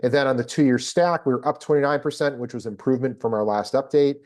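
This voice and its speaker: A man hosting a business data presentation, explaining figures in a measured, analytical way. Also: mid-range voice, a little mellow. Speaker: host presenting a job market report.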